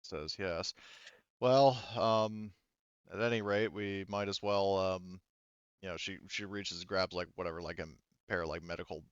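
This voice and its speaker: Low, smooth-voiced man, slightly dull recording. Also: steady tone, a little slow, dry recording. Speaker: player in a tabletop roleplaying game. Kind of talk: casual improvised narration and in-character dialogue.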